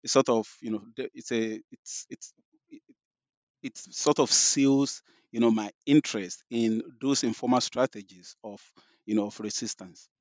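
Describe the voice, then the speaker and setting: A middle-aged man from Nigeria speaks with a clear Nigerian accent, working through his answers in a halting, thoughtful way that leans on "you know." The recording is dry and close, with no room sound. He speaks at a middle pitch for a man. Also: steady tone, no echo. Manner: thoughtful, many restarts and "you know" fillers. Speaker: guest scholar. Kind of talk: interview answers.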